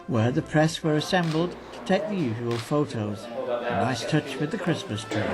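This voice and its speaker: Guy narrating a local newsreel, scripted, highish voice over music.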